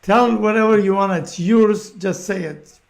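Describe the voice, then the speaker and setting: A man's voice: high voice, fairly even intonation, unhurried, vocal fry. A man hosting a live interview show in a casual way, with plain, loosely phrased English.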